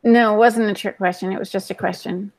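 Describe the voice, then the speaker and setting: A woman's voice: medium pitch, fairly even intonation, brisk, slight background noise, poor audio. A woman hosting a podcast, chatting casually and passing questions to the panel. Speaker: podcast host reading listener questions.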